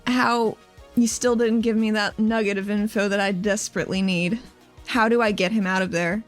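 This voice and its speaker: A resolute squad leader played by a woman at a game table, frank and businesslike. Her voice sits at a middle pitch, with music playing faintly behind it. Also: fairly even intonation, normal speed, lightly acted. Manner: direct, frank.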